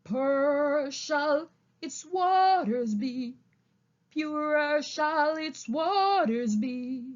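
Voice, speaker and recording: Woman voicing a solemn old boar orator, high-pitched. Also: lively intonation, muffled recording, soft background music, heavily acted. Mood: rousing, singing. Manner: oratorical, deliberate, emphatic. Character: old male boar, solemn rousing orator to fellow animals. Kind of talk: audiobook character speech and song.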